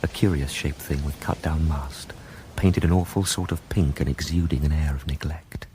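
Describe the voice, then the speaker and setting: An actor playing a curt, possessive British husband in a radio drama, speaking in a brusque, firm way. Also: male voice, rumbling low, steady tone, moderate pace, darkish tone, a little hiss or hum. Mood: brooding narration. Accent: British English. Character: curt, possessive, practical new husband fond of boats. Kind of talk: radio drama acting and narration.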